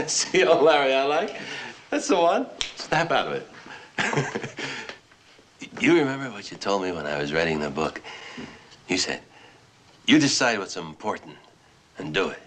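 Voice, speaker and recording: Theatrical, swinging-voiced writer. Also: male voice, lowish voice, a little slow, pauses often. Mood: wry. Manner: theatrical, savoring his words, rueful. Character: self-mocking, restless male writer, drunkenly celebrating alone. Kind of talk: film drama acting. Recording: steady background noise.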